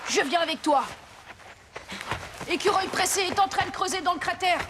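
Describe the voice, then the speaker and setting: A woman voicing a lively, anxious child in a French cartoon, excitable and fretful. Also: very high voice, moderate pace, background noise. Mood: worried, excited.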